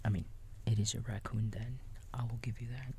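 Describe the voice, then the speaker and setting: A man playing a kindly raccoon babysitter in a bedtime ASMR roleplay, whispering softly and gently reassuring a small child in a folksy, halting way. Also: medium pitch, steady tone, slight background noise, lightly acted. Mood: soothing, playful. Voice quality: soft, breathy whisper. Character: kindly big-brotherly male raccoon babysitter.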